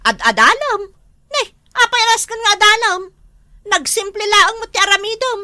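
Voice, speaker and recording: A woman's voice: very high voice, lively intonation, normal speed, sharp tone, dry recording, studio quality, full character voice. A woman playing an exasperated younger wife in a comedy drama, complaining and scolding with a northern Philippine accent. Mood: angry scolding. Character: exasperated nagging younger wife of a lazy husband.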